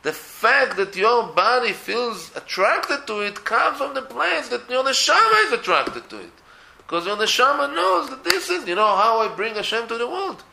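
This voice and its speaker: Israeli guy talking torah casually, gravelly bright voice, swings up and down a lot, echoey room. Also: extremely high pitch, normal speed, a little hiss or hum. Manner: animated, many restarts, rising to emphatic peaks. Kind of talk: casual religious talk.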